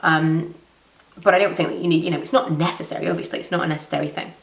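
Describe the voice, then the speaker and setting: A woman's voice: sits low, fairly even intonation, fast, gravelly, echoey room, far from the mic, rough recording. A woman in her thirties with a stuffy cold, chatting casually and rambling through viewer questions in a home vlog. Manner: chatty, rambling, self-deprecating. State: blocked nose from a cold. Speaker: beauty vlogger.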